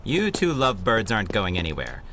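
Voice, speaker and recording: Sneering street thug, wildly swooping delivery. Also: male voice, lowish voice, huge pitch swings, brisk, background noise. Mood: menacing, mocking. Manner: taunting, mocking tough-guy slang. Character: menacing, sneering male street thug gang leader, 1930s. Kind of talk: 1930s radio adventure serial acting.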